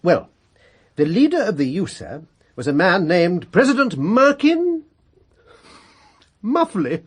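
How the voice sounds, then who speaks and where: An actor playing an excitable, childish alien storyteller in a comic radio play, gleeful, whiny and impatient, with a singsong delivery and a husky voice. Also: mid-range voice, slow, exaggerated voice. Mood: silly.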